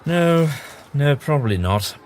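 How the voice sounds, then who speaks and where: An actor playing a whimsical alien traveller who muses aloud to himself in a sci-fi audio drama. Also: male voice, on the high side, expressive, measured pace, a little mellow, slight background noise. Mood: amused, musing. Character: whimsical, musing alien traveller, amused by humans.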